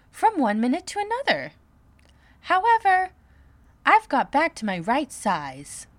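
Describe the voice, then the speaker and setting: A polite little girl from a storybook, played by a woman, high and very expressive. She speaks slowly and earnestly. She sounds puzzled and ready to take offence, stressing her words. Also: no echo, full character voice. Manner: indignant, earnest, emphatic. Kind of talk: dramatised storybook reading.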